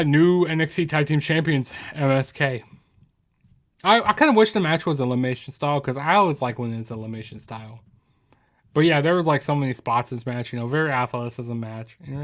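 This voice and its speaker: A man giving a casual, rambling spoken review of a wrestling show, full of ums, you-knows and restarts. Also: medium pitch.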